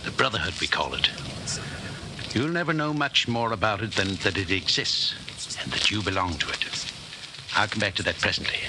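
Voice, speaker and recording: Suave official, noisy old radio. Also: male voice, on the low side, animated. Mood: calm, confiding. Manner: deliberate, urbane, hesitant pauses. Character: suave, measured senior party official sounding out recruits. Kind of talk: 1940s radio drama acting. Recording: noisy 1949 radio broadcast recording.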